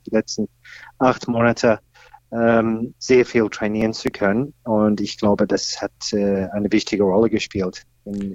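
A man's voice: lowish voice, darkish tone, no echo, muffled recording. Hesitant man in his fifties. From Australia. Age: 50s. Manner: hesitant, many fillers and pauses. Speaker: golf teacher and senior champion guest. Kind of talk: podcast interview.

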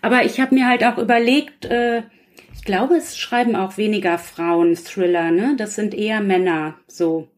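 A woman's voice: medium pitch, moderate pace. A woman chatting informally in a discussion, asking questions and trailing off with small tag words. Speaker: thriller author on a panel. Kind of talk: casual discussion.

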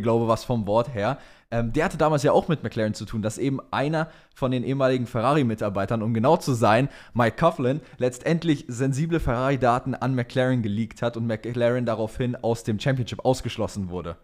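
A man's voice: medium pitch, darkish tone. Young man chatting casually on a podcast. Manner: slangy, peppers speech with English words. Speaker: podcast co-host.

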